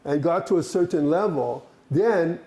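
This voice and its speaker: Animated male workshop presenter, mellow voice. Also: fairly high pitch, measured pace. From Hawaii. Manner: animated, laughs and asks the group questions. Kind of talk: interactive workshop talk.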